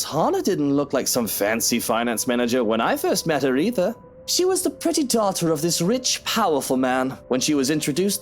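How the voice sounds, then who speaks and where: A man playing a cheeky, moody married man in a visual novel game, teasing and informal with British-style slang, over music. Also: on the high side. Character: cheeky, moody married man, musing about his wife. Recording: music behind the voice.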